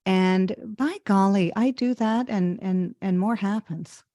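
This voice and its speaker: A woman's voice: mid-range voice, slow pace, mellow, dry recording, clean studio sound. A woman hosting an interview show, enthusiastic and affirming toward her guest. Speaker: show host. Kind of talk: conversational interview.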